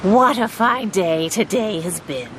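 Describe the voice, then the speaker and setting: A contented, friendly villager. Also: female voice, mid-range voice, expressive, measured pace, a bit bright, a little hiss or hum.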